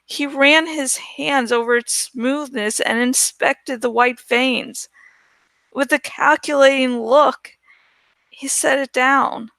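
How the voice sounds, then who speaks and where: A woman's voice: sits high, steady tone, slow, a bit bright, dry recording. A woman reading a story aloud as scripted narration. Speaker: audiobook-style reader.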